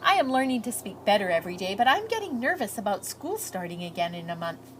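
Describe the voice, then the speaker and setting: A woman's voice: medium pitch, very animated, quick pace, a little hiss or hum, close to an everyday voice. A woman voicing an earnest, shy, hopeful immigrant girl reading out her letters, with heartfelt, lilting storybook delivery.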